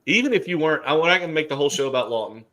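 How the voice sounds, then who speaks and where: A man's voice: on the high side, expressive, very fast, pushed and bright. A middle-aged man from Alabama hosting a casual talk, chatty and joking, with many fillers. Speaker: host. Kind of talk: casual chat.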